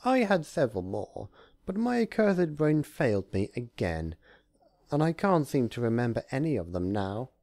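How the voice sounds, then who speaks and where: A man's voice: medium pitch, sing-song, normal speed, vocal fry, darkish tone, dry recording, voice pushed up. A man voicing a smug, gloating, mischievous god of chaos in a cartoon fan story, with a theatrical, taunting delivery. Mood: grumbling.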